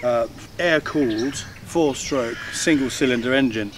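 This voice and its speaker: A man's voice: medium pitch, a little slow. An older man co-hosting a motorcycle review, talking casually outdoors in a churchyard, explaining with frequent ums. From England. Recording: distant outdoor mic with clear background noise.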